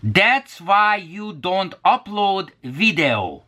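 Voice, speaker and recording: Man putting on a slow, halting Hungarian accent. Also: high voice, very animated, pushed and bright, pitched above the performer's own voice, heavily acted. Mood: insistent. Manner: slow, halting, clipped phrases. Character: blunt Hungarian viewer writing in broken English.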